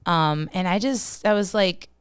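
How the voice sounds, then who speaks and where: A young woman from Minnesota with a Minnesotan accent, chatting as a podcast guest, full of fillers and quick laughs. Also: lowish voice, smooth, no echo, studio quality. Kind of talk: casual podcast chat.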